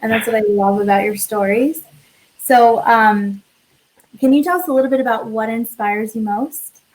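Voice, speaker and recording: A woman's voice: on the high side, normal speed, a little mellow, poor audio. A woman hosting an interview over a video call, enthusiastic and bubbly as she introduces and questions her guest. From Westchester, New York, USA. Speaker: host.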